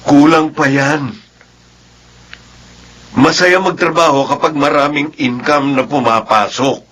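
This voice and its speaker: A man's voice: on the high side, steady tone, slow pace, a bit bright. A man playing an ambitious, boastful middle-aged husband with a Filipino accent in a drama. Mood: eager, ambitious. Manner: boastful, eager.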